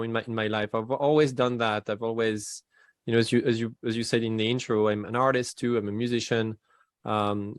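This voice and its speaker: Halting French man, dry sound. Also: medium pitch, no echo. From France. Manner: halting, many restarts, laughs between thoughts. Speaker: guest. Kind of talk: casual interview chat.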